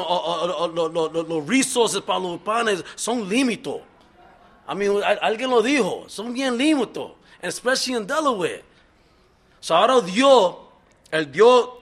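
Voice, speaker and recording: A middle-aged Dominican-American man with a fairly high voice speaks in an earnest, halting way. He restarts words often and drops English fillers between his Spanish phrases. This is unscripted personal testimony at a recovery meeting. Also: a bit bright. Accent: Dominican-American, mixing English into Spanish. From New York, Dominican family.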